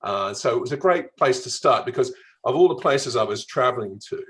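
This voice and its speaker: A man's voice: medium pitch, animated, brisk, a bit bright, breathy edge. A man from Melbourne with a mild Australian accent, telling travel stories conversationally as an interview guest. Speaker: travel writer being interviewed.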